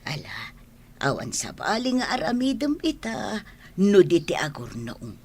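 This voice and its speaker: A woman's voice: fairly low pitch, huge pitch swings, a little slow, darkish tone, husky, exaggerated voice. A woman playing a motherly, practical older housemaid in an audio drama. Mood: sleepy, resigned. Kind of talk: audio drama acting in dialogue.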